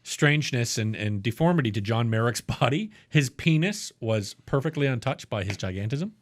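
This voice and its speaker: A man co-hosting a podcast, telling stories in loud, jokey casual chat full of swearing. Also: mid-range voice, expressive, moderate pace. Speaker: podcast co-host.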